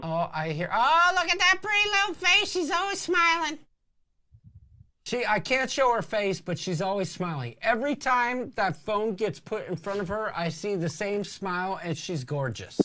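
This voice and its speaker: A man in his fifties with a sharp, bright voice that swings widely in pitch. He hosts a livestream with animated, teasing banter and reads out comments from viewers. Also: moderate pace. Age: 50s. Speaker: live show host reading viewer comments.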